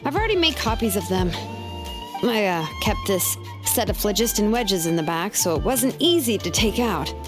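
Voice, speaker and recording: A woman's voice: medium pitch, very animated, moderate pace, music in the background, lightly acted. A woman playing a laid-back, thoughtful young female artisan in a video game, explaining things matter-of-factly. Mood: casual, brisk. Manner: explains at length, matter-of-fact. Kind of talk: video game character dialogue.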